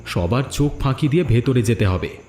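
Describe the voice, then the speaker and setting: A man's voice: medium pitch, animated, brisk, dark tone, natural voice. A man voicing a determined, encouraging village friend in a cartoon fairy tale, speaking in an urging way. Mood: resolute. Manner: resolute, urging. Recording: echoing voice over background music.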